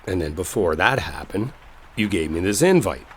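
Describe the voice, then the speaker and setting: Roleplay audio lead: male actor as smitten, sincere office colleague, deep voice, colloquial halting confession, faint rainstorm ambience behind. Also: animated, a little hiss or hum. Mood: flustered, pleading. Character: earnest lovestruck male coworker clearing up a misunderstanding. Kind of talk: intimate dramatic roleplay audio, one-sided conversation.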